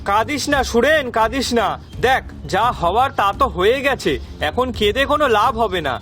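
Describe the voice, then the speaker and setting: A man playing a good-natured, timid married village food vendor in an animated family drama, earnest and plain-spoken. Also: extremely high pitch, fairly quick, soft background music. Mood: concerned.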